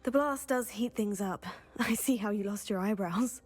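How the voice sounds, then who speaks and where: A woman's voice: mid-range voice, moderate pace, faint music behind, close to an everyday voice. An actress playing a polite, bold and curious teenage witch student in a video game, with a composed, courteous manner. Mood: amused. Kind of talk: video game dialogue acting.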